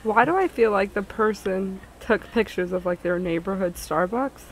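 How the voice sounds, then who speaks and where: Woman, game streamer, medium pitch, casual chat and read-aloud story text, faint background noise. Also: normal speed.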